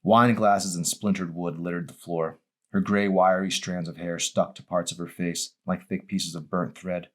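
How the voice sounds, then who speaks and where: A man reading his own novel as a dramatic audiobook, in a grave, deliberate storytelling manner. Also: low voice, level tone.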